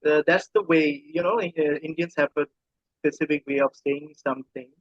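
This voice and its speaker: A man's voice: fairly high pitch, fairly even intonation, moderate pace, no room sound, muffled recording. A man from Bangladesh with a Bangladeshi accent, a native Bengali speaker, talking casually as an interview guest and often repeating himself hesitantly.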